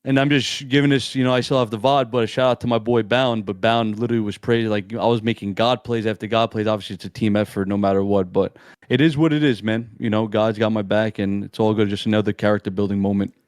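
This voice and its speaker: Young man, monotone, laid-back slang. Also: on the low side, fast, no room sound, clean studio sound. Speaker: amateur esports player, guest. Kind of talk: casual interview chat.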